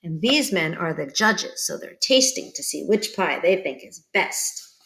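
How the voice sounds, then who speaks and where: A woman reading a picture book aloud to children like a teacher, expressive and pausing to put questions to young listeners. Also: lowish voice, creaky, echoey room, rough recording. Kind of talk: children's read-aloud storytelling.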